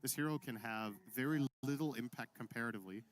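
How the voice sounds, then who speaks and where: A man of middling pitch breaks down strategy in a video game match, measured and explanatory with frequent ums. Game music plays clearly behind him. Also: poor audio. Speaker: color analyst. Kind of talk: esports analysis commentary. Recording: broadcast commentary over game music.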